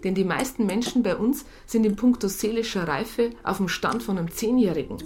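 A woman's voice: mid-range voice, a little fast, darkish tone, faint music behind, lightly acted. Woman playing a blunt, sardonic police psychologist. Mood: resigned, sardonic. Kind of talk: naturalistic radio drama dialogue.

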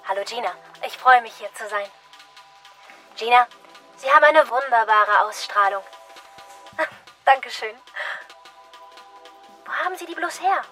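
A woman's voice: fairly high pitch, lively intonation, a little slow, pauses often, bright, forceful tone, faint music behind. An actress in a radio play playing a starry-eyed young postwoman who dreams of stardom, with an earnest, showy manner. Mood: dreamy, earnest. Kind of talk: radio play acting, rehearsed stage introductions and interviews.

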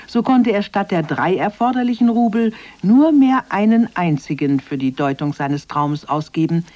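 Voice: mid-range voice, darkish tone, no echo. A narrator telling a scripted story in a children's radio play.

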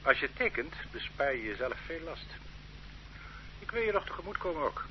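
Brief: condescending, threatening state interrogator, old radio play, muffled sound. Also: male voice, on the high side, big pitch swings, normal speed, slightly rough, exaggerated voice. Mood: stern. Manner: bullying, condescending. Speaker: official interrogating a suspect. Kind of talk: radio drama acting. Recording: dull old radio recording with faint hum.

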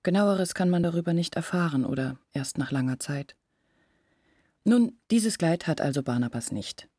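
A woman's voice: fairly low pitch, expressive, moderate pace, dark tone, slightly rough, dry recording, studio quality. An actress playing an anxious, confiding young village woman in a literary radio drama, explaining her doubts earnestly and intimately. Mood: earnest, troubled.

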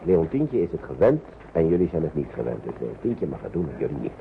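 A man's voice: very deep voice, sing-song, brisk, creaky, exaggerated voice. Patient fatherly man, tinny old recording. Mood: reasoning, mildly firm. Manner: coaxing, hesitant, repeats his phrases. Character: patient, fatherly man shepherding tired young children. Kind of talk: naturalistic Dutch radio play acting. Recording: noisy, phone-like old radio recording.

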